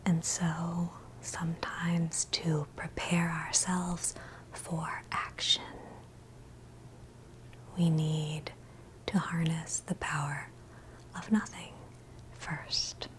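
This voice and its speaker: Woman whispering a guided meditation asmr style, super slow n gentle, lowish breathy voice, lots of pauses. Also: little pitch movement, poor audio. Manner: gentle, soothing, with long pauses between short phrases.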